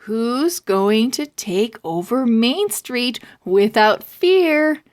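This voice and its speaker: A woman plays a storybook bookstore lady whipping up a crowd. She projects in a high, lively, rallying voice. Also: extremely slow. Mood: rousing. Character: rousing female bookstore clerk leading a zombie walk.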